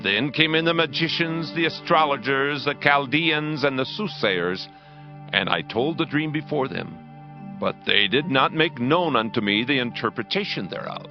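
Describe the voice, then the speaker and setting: A man's voice: mid-range voice, animated, normal speed, close to an everyday voice. An actor playing a proud, awestruck king of ancient Babylon, declaiming solemnly in a dramatized scripture reading. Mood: solemn, reverent. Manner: grand, declamatory, solemn proclaiming. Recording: dull band-limited audio under loud music. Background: loud dramatic music.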